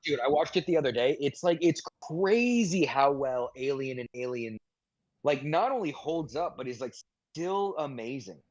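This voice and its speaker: A man's voice: mid-range voice, lively intonation, moderate pace, darkish tone, dry recording. A man co-hosting a podcast, chatting casually and informally. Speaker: podcast co-host. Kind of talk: casual chat. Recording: dull, slightly lo-fi microphone.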